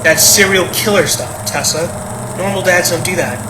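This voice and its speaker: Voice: mid-range voice, moderate pace, close to an everyday voice. An actor playing an uneasy, doubtful grown child in a naturalistic audio drama, talking in a hesitant, conversational way. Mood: insistent, suspicious. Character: uneasy, doubtful grown child who suspects dad of murder. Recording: roomy, echoey with clear background noise.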